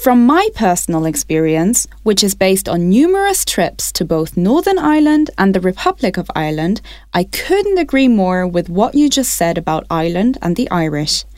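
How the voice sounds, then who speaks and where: A woman from Wales hosting a radio phone-in, speaking in a measured, polite and clearly enunciated way. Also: medium pitch, big pitch swings, darkish tone, dry recording, clean studio sound. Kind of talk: scripted radio phone-in presenting.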